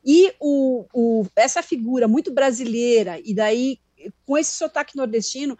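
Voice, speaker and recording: A woman's voice: fairly high pitch, normal speed, dark tone, no echo. A woman co-hosting an interview, asking long, rambling questions with chatty hesitations. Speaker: co-host interviewer.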